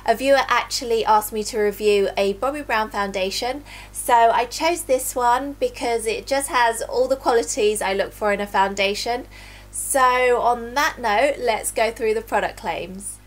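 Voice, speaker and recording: A woman's voice: on the high side, a little slow, faint music behind. A woman reviewing makeup in a casual, chatty way, sounding a little nasal from a cold. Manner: chatty, talks while applying makeup. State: nasal from recovering flu. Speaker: beauty vlogger. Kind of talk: casual product review and demo.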